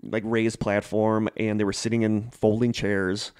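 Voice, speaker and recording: A man's voice: lowish voice, level tone, unhurried, a little mellow, clean tone, no echo, clean studio sound. A middle-aged man co-hosting a podcast, telling stories casually in an enthusiastic, rambling way. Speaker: podcast co-host. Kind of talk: casual conversational storytelling.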